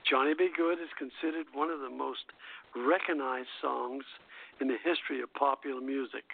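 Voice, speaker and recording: Gravelly man on a tinny phone line. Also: mid-range voice, steady tone. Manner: halting, stumbling reading. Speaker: radio show host. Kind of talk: reading a scripted music history aloud.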